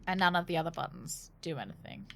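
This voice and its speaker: A woman's voice: low voice, animated, quick pace, gravelly, a little hiss or hum. A woman doing casual live game commentary, thinking aloud in a playful, cheeky, rambling way and swearing freely. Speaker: game streamer.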